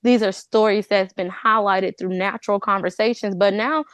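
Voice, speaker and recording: A woman's voice: mid-range voice, steady tone, moderate pace, no echo. A woman speaking as an interview guest in an informal, conversational way, peppering her talk with "like". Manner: informal, says "like" often. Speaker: cast member, interview guest. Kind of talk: casual conversational chat.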